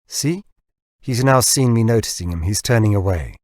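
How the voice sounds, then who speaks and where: A man's voice: on the low side, lively intonation, moderate pace, darkish tone, dry recording, close to an everyday voice. A man reading an audiobook as a proud, glum man who puts on hearty bonhomie, blustery and wry. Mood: suspicious. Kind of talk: audiobook character dialogue.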